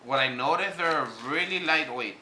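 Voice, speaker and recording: Bright-voiced man talking haltingly in an echoey room. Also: fairly high pitch. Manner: hesitant, halting, switching between two languages. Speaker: vlogger. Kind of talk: casual unboxing review, talking to viewers. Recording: echoey room with faint background noise.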